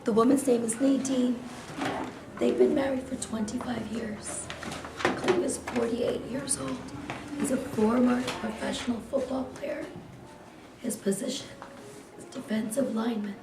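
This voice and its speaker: Woman, stage directions reader, scripted delivery, distant mic, clear background noise. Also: a little high, steady tone, a little slow, echoey room. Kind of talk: scripted narration at staged reading.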